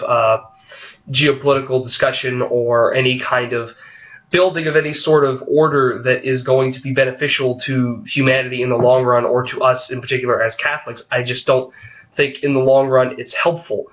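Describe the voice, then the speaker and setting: A man with a head cold and a slightly froggy voice, vlogging casually to camera in a halting, rambling way full of fillers. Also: mid-range voice, lo-fi sound. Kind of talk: casual opinion vlog talking to camera.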